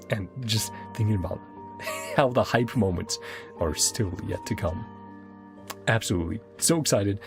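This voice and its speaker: Male streamer, low pitch, creaky fry, casual and enthusiastic rambling, music clearly behind. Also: a little slow, pauses often. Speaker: game streamer, host. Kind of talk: casual livestream commentary wrapping up a game session. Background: game music.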